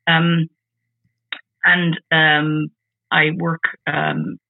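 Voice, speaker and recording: A woman in her forties from the Netherlands, with a Dutch accent, talking casually as an interview guest, halting and often repeating words as she restarts. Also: deep voice, level tone, no room sound. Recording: tinny phone-like call audio.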